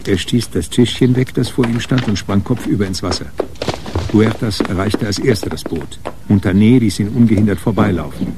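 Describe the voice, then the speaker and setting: An actor narrating a radio crime drama as a composed storyteller. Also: male voice, on the low side, moderate pace, background noise, close to an everyday voice. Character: composed male storyteller of a detective tale.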